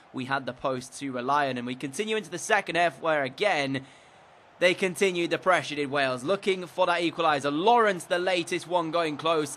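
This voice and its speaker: A young man with a bright, forceful voice pitched a bit high, talking through football matches like a commentator. His nose is a little blocked from a cold, and he scatters fillers through his upbeat delivery into a desk mic. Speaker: gaming YouTuber. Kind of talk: recap commentary of a football career mode.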